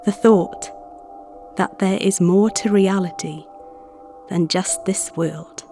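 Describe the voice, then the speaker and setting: A woman acts a gentle, protective female therapist in an ASMR roleplay. She speaks slowly at a medium pitch, earnest and deliberate, with some music underneath. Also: steady tone, close to an everyday voice. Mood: tender, reassuring. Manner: soothing, earnest, deliberate with pauses. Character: gentle, protective female visualization therapist guarding imagined minds. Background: background music.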